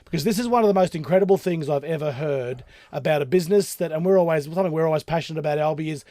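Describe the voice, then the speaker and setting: A man's voice: a little high, racing, warm tone, dry recording, clean studio sound. An Australian man hosting a chatty radio interview in an enthusiastic, matey way. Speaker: radio host.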